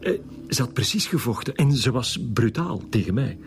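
A man's voice: mid-range voice, big pitch swings, moderate pace, slight background noise. An actor playing an evasive, shaken Flemish school principal in a radio drama, hesitant and stammering as he weighs his words. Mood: nervous, defensive. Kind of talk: naturalistic radio drama acting.

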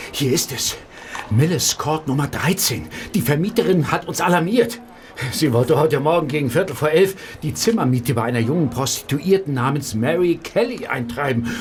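A man's voice: fairly high pitch, expressive, normal speed, slight background noise, lightly acted. An actor in a German radio play voicing a dutiful Victorian policeman reporting to his superior. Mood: urgent, grave.

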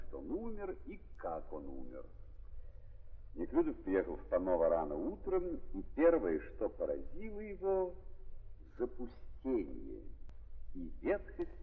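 A male narrator with a hugely varied, swooping intonation delivers scripted prose in declamatory 1930s radio style. His phrasing is measured and theatrical. The old recording is muffled, with long reverberation. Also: medium pitch.

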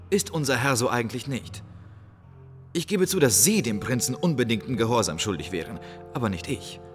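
An actor playing a proud, scornful young count in a classical German radio play, speaking formally with biting irony. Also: male voice, medium pitch, a bit bright, long reverb tail. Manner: curt, biting irony, formal theatrical delivery.

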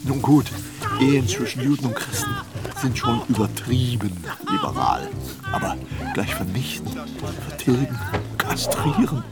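A man's voice: mid-range voice, wildly swooping pitch, a little slow, loud music behind the voice. A man playing a wry, rueful old poet who muses aloud with self-mockery in a radio play. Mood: rueful musing.

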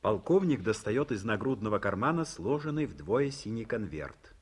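Man reading stage directions in an old radio play, kinda husky, lively tone. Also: fairly low pitch, normal speed. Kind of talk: scripted narration. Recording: 1950s studio radio recording.

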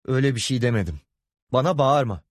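Exasperated husband in a storybook, male narrator acting: proud, stern, short fuse, lively raised intonation. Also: medium pitch, a little fast, dry recording, clean studio sound, pitched above the performer's own voice, natural voice. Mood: warning. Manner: stern, warning, gritted-teeth indignation. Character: hot-tempered, proud, exasperated husband and father.

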